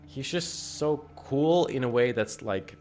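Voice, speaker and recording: A man with a Finnish accent chatting and joking over a game at home, his voice jumping up when excited. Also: mid-range voice, takes it slowly, faint music behind. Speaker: game streamer. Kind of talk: casual game commentary. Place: home room.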